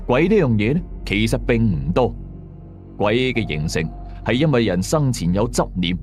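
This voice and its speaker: Guy doing a veteran ghost hunter for cantonese horror radio, lowish voice, swings up and down as he explains, music under it. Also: a little mellow. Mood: explanatory. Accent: Hong Kong Cantonese. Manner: explanatory, conversational asides to listeners. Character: male veteran ghost exorcist narrating his cases, matter-of-fact, moralizing. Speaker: radio drama narrator. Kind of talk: scripted first-person horror storytelling.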